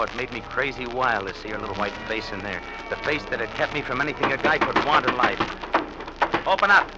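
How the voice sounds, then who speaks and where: An American actor playing a cold, unhinged wife-killer who confesses with jittery menace in a vintage radio thriller. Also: male voice, mid-range voice, natural voice. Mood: brooding menace, then wild taunting. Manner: menacing, taunting endearments. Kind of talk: 1940s-50s radio thriller acting, first-person narration. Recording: muffled vintage radio recording, loud music behind.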